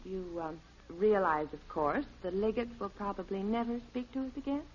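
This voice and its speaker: A woman's voice: medium pitch, normal speed, lightly acted. An actress playing a sensible middle-aged American wife and mother, dryly chiding and patient, in a 1950s radio sitcom. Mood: reproachful. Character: sensible, gently scolding suburban wife and mother. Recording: muffled, faintly noisy old radio recording.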